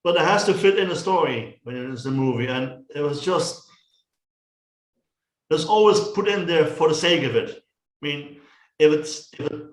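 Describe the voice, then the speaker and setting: Halting Dutch-accented man, echoey room. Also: a little high. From Netherlands. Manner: halting, repeats words and restarts often. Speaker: co-host of a movie review show. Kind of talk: casual film chat.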